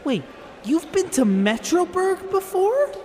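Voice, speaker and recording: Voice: extremely high pitch, big pitch swings, takes it slowly, warm tone, slight background noise, exaggerated voice. A performer playing an earnest, defiant boy superhero sidekick in an audio drama. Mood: puzzled. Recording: long reverb, music behind.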